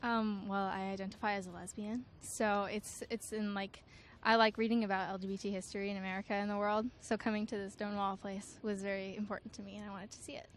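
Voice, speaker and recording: A woman's voice: medium pitch, little pitch movement, quick pace. A teenage girl answering a street interview outdoors, earnest and full of fillers. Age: teens. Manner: hesitant, filler-heavy, earnest.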